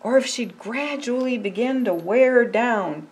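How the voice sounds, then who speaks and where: Older female storyteller, unhurried and expressive, pitched a little high, children's storytime read. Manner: slow, deliberate, expressive storytelling. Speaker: pastor reading a children's story. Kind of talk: picture-book storytime reading. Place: indoors by a fireplace.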